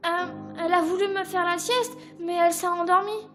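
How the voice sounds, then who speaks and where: A woman's voice: very high-pitched, fairly even intonation, fairly quick. A woman playing a sweet, childlike little girl who talks simply and pleadingly in an audio drama. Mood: subdued. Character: sweet little girl attached to her android. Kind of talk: French audio drama acting. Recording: music behind the voice.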